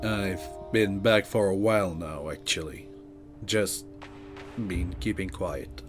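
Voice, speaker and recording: An actor playing a weary, guarded vampire mentor in an amateur audio drama. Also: male voice, deep voice, takes it slowly, music in the background. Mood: guarded, calm.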